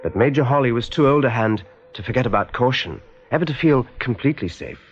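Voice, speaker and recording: A man's voice: mid-range voice, animated, fast talker, husky. A man narrating a radio play in a scripted storytelling style, on a slightly dull recording. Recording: slightly dull, music behind. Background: music.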